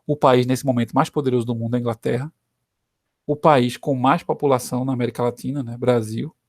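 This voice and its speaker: A Brazilian man teaches history in a relaxed, conversational tone, tagging questions onto his sentences to keep students with him. His voice sits at an ordinary middle pitch. The recording is dry and close, with no room sound. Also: brisk, no room sound. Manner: conversational, frequent "né" tag questions.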